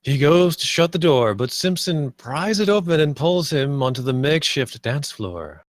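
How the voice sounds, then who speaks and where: A man's voice: fairly high pitch, lively intonation, moderate pace, no echo. Dry-sounding man reading stage directions. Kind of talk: live script table read narration.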